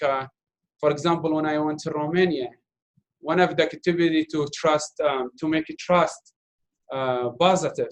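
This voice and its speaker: Man, native Arabic speaker, from Iraq, somewhat high voice, halting English, casual Q&A. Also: poor audio. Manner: halting non-native English with many fillers and restarts. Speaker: interview guest, doctoral student. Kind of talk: casual Q&A talk.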